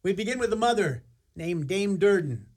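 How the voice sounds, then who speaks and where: A man's voice: high voice, lively intonation, normal speed, darkish tone. A man playing a genial, theatrical narrator, telling a fairy tale in a radio play. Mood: storytelling. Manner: theatrical, storytelling.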